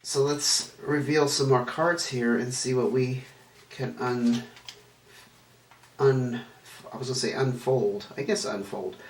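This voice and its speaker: A man's voice: medium pitch, fairly even intonation, takes it slowly, pauses often. A man with a stuffy, nasal voice from a cold, chatting casually through a tarot card reading and wandering as he talks. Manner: meandering, chatty, self-correcting. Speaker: tarot reader. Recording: echoey room, distant mic, faint noise.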